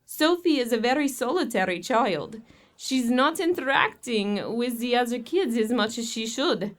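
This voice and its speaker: Woman acting anxious, exasperated young girlfriend, high voice. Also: animated, a little slow. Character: worried, bitter younger girlfriend of widowed father. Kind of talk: audio horror drama acting.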